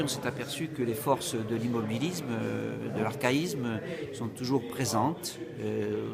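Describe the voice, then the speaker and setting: A male politician giving an interview reaction in a halting, deliberate way with drawn-out hesitations. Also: fairly low pitch, creaky. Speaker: local politician. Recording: loud crowd noise around him. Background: loud crowd noise.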